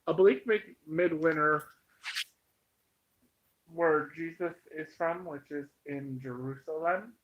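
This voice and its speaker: Slow-talking American man, slightly high voice. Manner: emphatic, halting, repeats himself for effect. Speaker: online commentator. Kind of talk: informal opinionated monologue to viewers. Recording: dull, muffled lo-fi sound.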